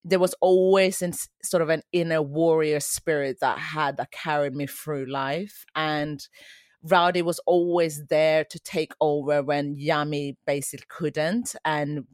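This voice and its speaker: A woman's voice: deep voice, fairly even intonation, a little slow, no echo, studio quality. A woman in her forties who grew up in Sweden, a dance teacher answering interview questions in an earnest, reflective way.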